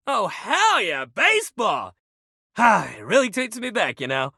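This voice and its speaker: A man's voice: fairly high pitch, bright, forceful tone, no echo, clean studio sound, heavily acted. A man voicing a video game character, a living shuttlecock who is an upbeat, laid-back young sports coach with slangy, encouraging, bro-ish talk. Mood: warm, encouraging, then casual, nostalgic.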